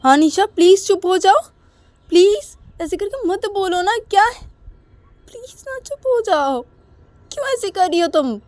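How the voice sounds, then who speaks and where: A woman's voice: very high voice, animated, measured pace, darkish tone, dry recording. A distressed young woman, played by a female storyteller in an audio drama. She pleads in a placating, imploring way. Her short appeals come round again and again. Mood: exasperated pleading. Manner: imploring, repetitive.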